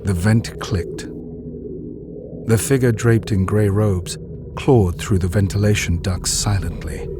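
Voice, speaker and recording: A male narrator reads a dramatic story in a low voice, very slowly and solemnly. Clear music plays behind his measured delivery. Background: loud music.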